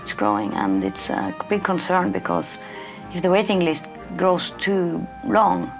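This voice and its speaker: Woman with a deep gravelly voice, plain talk, lots of uhs, soft music under. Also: big pitch swings, a little slow, rough recording. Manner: plain-spoken, frequent hesitations. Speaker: refuge network coordinator. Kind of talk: documentary interview.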